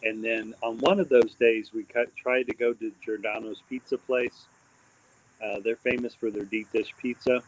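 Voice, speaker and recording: A man's voice: mid-range voice, steady tone, moderate pace, no room sound, poor audio. Midwestern-raised man in casual livestream chat, meandering, filler-heavy delivery, crickets in the background. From born in Texas, raised mostly in Indiana. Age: adult with a daughter. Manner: rambling, many fillers and restarts. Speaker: host of a live stream.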